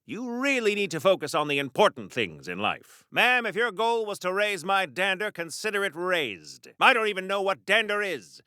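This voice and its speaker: Vintage comic book superhero, high-pitched, animated, pompous genteel wording, 1940s radio-serial game acting. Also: male voice, normal speed, hardly any pauses, smooth, no echo, clean studio sound, heavily acted. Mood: earnest, musing, then huffy. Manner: pompous, wordy, old-fashioned genteel diction.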